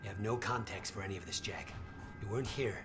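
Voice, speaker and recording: A man's voice: on the low side, quick pace, music in the background, lightly acted. An actor playing an anxious, exasperated scientist in a video game, agitated and sardonic as he explains technical things. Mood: agitated, regretful.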